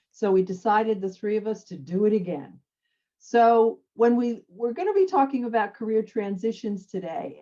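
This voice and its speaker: A middle-aged woman psychologist from French Canada and the United States talks in a rambling, self-correcting way. Her tone is dark and mellow, and the audio is a little dull. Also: medium pitch. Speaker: psychologist guest. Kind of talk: casual panel chat.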